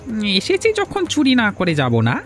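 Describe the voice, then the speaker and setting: Insistent younger cartoon villager, very high male voice, animated pitch, music behind man acting. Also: moderate pace, heavily acted. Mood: impatient, sneaky. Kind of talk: Bengali cartoon fairy-tale dubbing.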